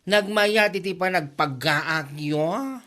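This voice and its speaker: A man's voice: high-pitched, slow, pushed and bright, exaggerated voice. A man with an Ilocano accent playing a gossipy, exclamatory village neighbour in a comedy drama. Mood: teasing.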